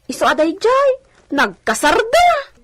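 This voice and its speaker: A sweet village maiden in a radio drama. Her very high young voice is slow and coy, drawing words out bashfully. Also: huge pitch swings, exaggerated voice.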